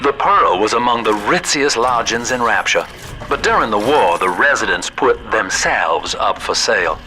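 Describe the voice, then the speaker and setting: Measured, refined male game guide actor, lowish register, explanatory delivery. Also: expressive, close to an everyday voice, poor audio. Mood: measured, wistful. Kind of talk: measured video game guidance.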